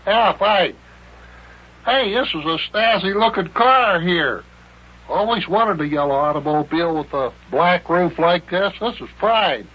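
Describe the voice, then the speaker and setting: An actor voicing a goofy, boastful pilot in old-time radio comedy, with folksy, chuckling talk. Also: male voice, sits high, expressive, measured pace, slightly rough, exaggerated voice. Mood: easygoing. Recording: noisy, muffled old radio broadcast.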